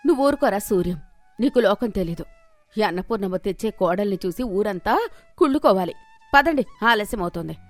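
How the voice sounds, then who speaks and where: A woman's voice: medium pitch, animated, brisk, a little mellow, faint music behind, lightly acted. A woman voicing a proud, fussy village mother-in-law with a mocking, domineering way of talking, in a moral story. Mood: stubborn. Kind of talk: Telugu moral story character voice.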